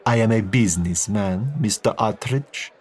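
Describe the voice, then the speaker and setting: A man voicing a suave Italian crime lord with an Italian accent, giving slow, clipped, guarded answers. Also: lowish voice, darkish tone, husky, a faint crowd. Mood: cool, curt.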